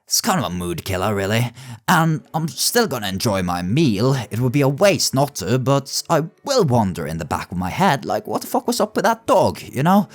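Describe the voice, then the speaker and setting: A man's voice: medium pitch, sing-song, moderate pace. A man narrating casual scripted video commentary in a wry, sardonic, sweary way. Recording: music behind the voice. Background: background music.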